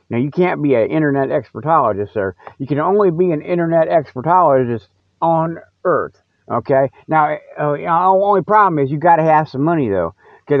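A man's voice: high-pitched, creaky, dry recording. A man hosting his own radio show, rambling solo in a folksy, colloquial, teasing way. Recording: tinny, band-limited radio sound, very dry.

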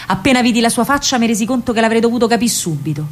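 An actress playing a spirited young partisan woman with a Roman accent, telling her story in an expressive, colloquial radio monologue. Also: on the high side, racing. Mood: grave, impassioned. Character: young female partisan courier, lovestruck and spirited. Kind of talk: theatrical radio monologue, dramatic first-person storytelling.

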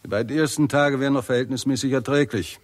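An actor playing a weary, irritable and pessimistic scientist in a 1960s German radio drama, husky and articulate, with a sardonic, argumentative manner. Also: male voice, mid-range voice, quick pace, close to an everyday voice. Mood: grave.

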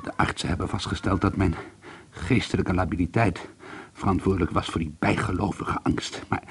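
A man's voice: on the low side, vocal fry, a little mellow, slightly rough, full character voice. An actor playing a troubled, bitter schoolteacher and painter in a radio drama, hesitant and confiding, repeating himself as he broods. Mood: bitter, confessional. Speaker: lead actor and first-person narrator. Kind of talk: radio drama monologue and dialogue. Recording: noisy old radio play recording.

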